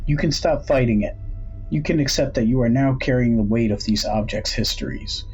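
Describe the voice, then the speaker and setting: A man voicing an enigmatic, knowing curiosity shop owner who speaks in a measured, cryptic way. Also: mid-range voice, darkish tone, slightly rough, dull audio. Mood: solemn offering. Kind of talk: audiobook character dialogue.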